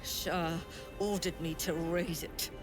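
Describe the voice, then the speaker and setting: A woman playing a haughty, menacing middle-aged mother superior of a dark cult in a fantasy video game, speaking imperiously with a cold, taunting edge. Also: on the low side, steady tone, over music. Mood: proud, bitter. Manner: imperious, deliberate, coldly taunting. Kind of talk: theatrical video game dialogue.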